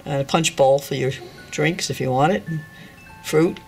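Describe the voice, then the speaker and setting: A woman's voice: low-pitched, measured pace, vocal fry, a little mellow, faint music behind. An older woman chatting casually and unscripted as she gives a home tour, meandering and correcting herself as she goes. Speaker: dollhouse owner giving a tour. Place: indoors at home, filming a dollhouse.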